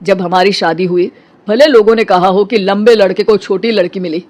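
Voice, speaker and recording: A woman's voice: mid-range voice, fairly even intonation, moderate pace, a little mellow, close to an everyday voice. A woman voicing an earnest, soothing middle-aged husband in a cartoon story. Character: calm, reassuring middle-aged husband and father.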